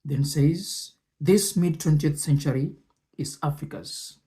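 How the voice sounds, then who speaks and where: A man presenting and commenting on speech excerpts in a deliberate, measured way, often pausing between short phrases. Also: a little high, mellow.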